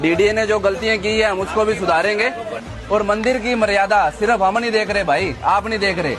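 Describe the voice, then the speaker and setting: Man at a street market, forceful protester, high-pitched, light music bed. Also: fairly quick. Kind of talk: street interview.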